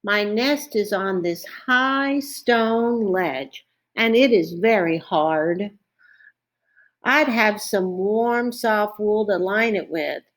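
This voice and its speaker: Woman as a plaintive, slow-speaking storybook crow. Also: mid-range voice. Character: nesting crow wishing for comfort. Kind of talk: storybook character voice.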